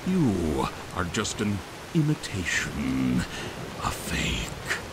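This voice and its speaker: A man voicing a scornful, wrathful spirit in a fantasy game, gravelly, slow and dramatic. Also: low-pitched, wildly swooping pitch, noisy background, full character voice. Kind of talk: scripted fantasy game villainy.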